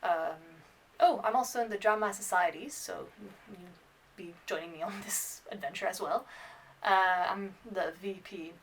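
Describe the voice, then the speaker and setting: A bilingual French and English woman in her twenties talks casually in a mid-pitched voice, as in a student vlog. She rambles and hesitates, gently putting herself down as she goes. The microphone sounds slightly far from her. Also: moderate pace, slight background noise. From France and Ireland. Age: 20s. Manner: rambling, hesitant, self-deprecating. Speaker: student vlogger. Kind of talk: casual unscripted vlog chat to camera.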